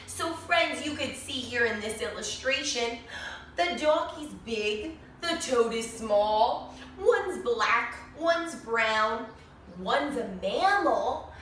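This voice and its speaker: A woman reading a picture book to young children in an expressive, sing-song way, pausing to explain things and ask questions. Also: on the high side. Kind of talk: animated read-aloud story for young children. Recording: very echoey room, distant mic, faint noise.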